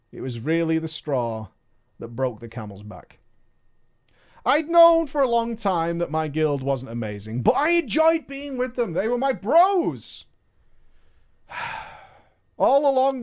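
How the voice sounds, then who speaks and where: Guy streamer reading out a chat story, pretty high voice, cuts in with teasing jabs. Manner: emphatic, mocking asides. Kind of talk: reading a viewer's story aloud with commentary.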